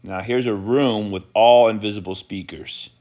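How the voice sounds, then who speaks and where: Low-pitched man narrating a product tour. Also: fairly even intonation, normal speed, a little mellow.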